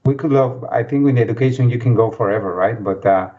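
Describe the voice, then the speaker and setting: A man's voice: mid-range voice, quick pace. A man moderating a panel casually, with informal, rambling and hesitant phrasing. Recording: dull lo-fi audio.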